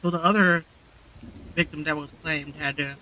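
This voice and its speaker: A man's voice: fairly high pitch, fairly even intonation, moderate pace, bright, forceful tone, low-quality recording. A man giving casual, slangy live commentary as he streams a game, reacting to what happens in it.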